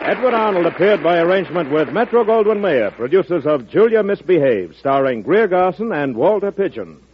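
A man's voice: high voice, big pitch swings, a little fast. An American man reading closing credits as a 1940s radio announcer. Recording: muffled vintage radio recording, music behind.

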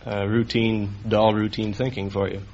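A man's voice: fairly low pitch, level tone, moderate pace, darkish tone. A man answering interview questions as a contestant, hesitant and matter-of-fact. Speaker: quiz contestant, computer designer. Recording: dull old radio broadcast, faint noise.

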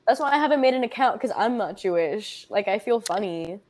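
Chatty, expressive young woman. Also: mid-range voice. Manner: chatty, expressive, quick to laugh, lots of fillers. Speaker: podcast guest. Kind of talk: casual chat.

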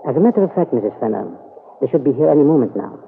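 A man playing a discreet manservant in an old-time radio soap, formal, measured and tactfully evasive. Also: medium pitch, very animated, creaky. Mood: deferential. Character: discreet, deferential gentleman's manservant, evasive and gallant. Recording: tinny, noisy old radio broadcast.

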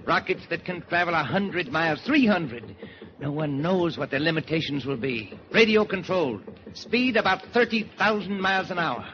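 An arrogant wartime Nazi spy, played by a male radio actor, who bargains for himself in a halting, theatrical way. The sound is a dull, noisy 1940s broadcast recording. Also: on the high side, animated, natural voice. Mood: boastful, menacing. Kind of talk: 1940s radio drama acting.

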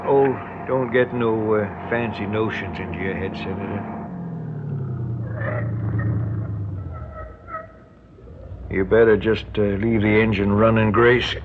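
A man's voice: fairly low pitch, fairly even intonation, normal speed, lightly acted. An actor playing a folksy, menacing rural kidnapper and father with a Southern American drawl in a radio drama. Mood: wary, commanding. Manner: drawling, folksy, colloquial talk. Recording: dull lo-fi radio recording, hissy background noise.

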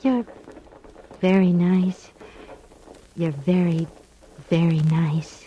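An actress playing a prim, smitten, lonely spinster in a vintage radio drama, speaking earnestly and haltingly. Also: female voice, fairly low pitch, fairly even intonation, warm tone, lo-fi sound. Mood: tender, needy. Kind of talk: 1950s radio drama acting.

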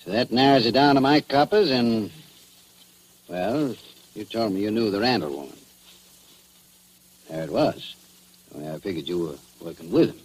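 An actor playing a shrewd, knowing, quietly regretful police chief in 1940s radio drama style. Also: male voice, lowish voice, lively intonation, moderate pace, pauses often, slightly rough, poor audio. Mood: accusing.

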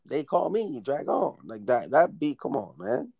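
A man in a relaxed, profane casual chat who often trails off mid-thought while making his point. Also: on the high side, a little slow, vocal fry, lo-fi sound.

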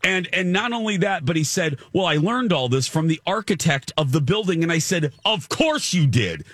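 Male co-host, deep voice, animated storyteller, dry recording. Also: normal speed, no room sound. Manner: animated storyteller, frequent restarts. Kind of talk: casual chat.

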